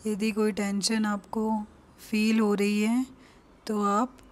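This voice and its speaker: Woman, Indian accent, very slow delivery, calm gentle instruction, long pauses, guided relaxation narration. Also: medium pitch. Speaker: psychiatrist leading a guided meditation.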